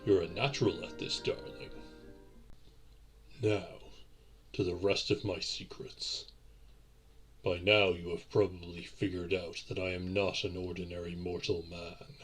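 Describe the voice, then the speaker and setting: A man playing a courtly, centuries-old vampire boyfriend in a romantic audio roleplay, speaking in formal, old-fashioned, deliberate phrases. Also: deep voice, a bit bright, slightly rough, heavily acted. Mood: tender, guiding.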